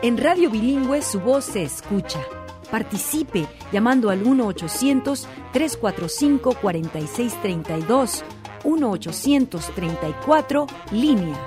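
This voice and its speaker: Female radio announcer, fairly high voice, salsa music bed. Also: expressive, normal speed. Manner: crisp promotional reading. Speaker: station announcer. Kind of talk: station promo. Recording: station promo over salsa music.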